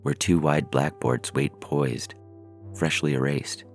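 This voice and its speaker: A man reading a first-person short story as a narrator, in a measured, deliberate way, from a script. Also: extremely low pitch, very animated, creaky. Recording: music bed under the voice. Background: synthesizer music.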